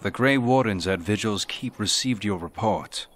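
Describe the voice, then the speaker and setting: An actor voicing a calm, curt, authoritative male warden commander in a video game. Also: fairly low pitch, normal speed. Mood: questioning.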